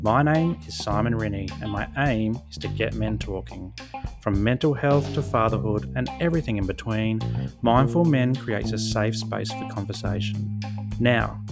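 A man's voice: fairly low pitch, lively intonation, normal speed, vocal fry, music in the background. An Australian man hosting a podcast, talking as a presenter and interviewer. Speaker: podcast host.

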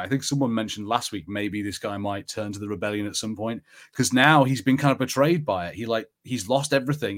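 A man chatting casually as a podcast host, rambling conversationally with halting, restarted sentences. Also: fairly low pitch. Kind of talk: casual chat.

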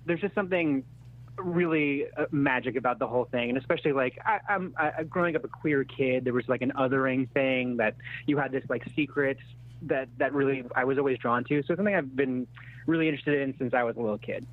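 Screenwriter guest, male, thirties. Halting, self-deprecating, conversational; narrow telephone-band audio. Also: medium pitch. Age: 30s. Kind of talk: casual interview chat. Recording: thin phone-like radio-style sound.

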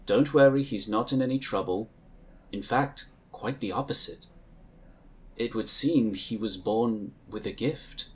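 A man playing a composed, dutiful psychic agent in a sci-fi audio drama, speaking in a formal, earnest, measured way. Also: lowish voice, bright, forceful tone. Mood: calm, insistent.

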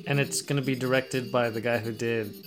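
A man's voice: medium pitch, steady tone, fairly quick, music in the background. A man co-hosting a podcast, explaining things in casual discussion. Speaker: podcast co-host.

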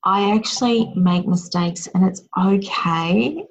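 A woman's voice: medium pitch, slow pace, gravelly, rough recording. A woman hosting a casual chat, talking in a reflective, encouraging way.

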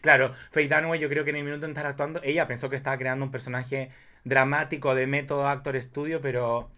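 A man's voice: mid-range voice, little pitch movement, fast talker, sharp tone. Guy on a podcast, campy and effusive, casual movie talk. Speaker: podcast guest. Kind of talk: casual film chat.

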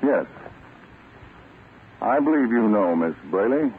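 An actor playing a stern, self-assured American government agent in a 1940s radio drama, speaking with deliberate authority. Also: male voice, lowish voice, lively intonation. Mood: grave, explaining. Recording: muffled, tinny, noisy old radio recording.

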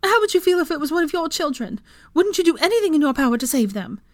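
Audiobook role: anguished, high-strung mother in middle age, pleading, raised high by a female reader. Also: sing-song, fast, no room sound, clean studio sound. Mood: weak pleading.

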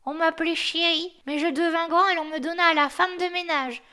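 Storybook casting: a woman playing a shrill, know-it-all old watchdog who barks his words, loud and high. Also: fairly even intonation, fairly quick. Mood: reminiscing. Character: old grumpy male yard dog, know-it-all.

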